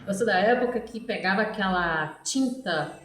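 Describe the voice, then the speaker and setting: Brazilian woman middle aged, rambly casual vlog chat, mid pitch, roomy sound kinda far from the mic. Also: moderate pace, a bit bright. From Brazil, born in Ceará, raised in Pará. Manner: rambling, frequent "né" tags, addresses viewers. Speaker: hairdresser making a video for followers. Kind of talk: casual chatty vlog monologue. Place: echoey space by a busy street. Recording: echoey, distant microphone.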